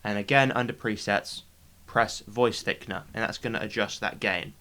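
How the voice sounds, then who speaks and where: A man's voice: lowish voice, steady tone, normal speed, pushed and bright. A man hosting a video editing tutorial in a casual, instructional way, often checking in with short tag questions. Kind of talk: casual spoken software tutorial.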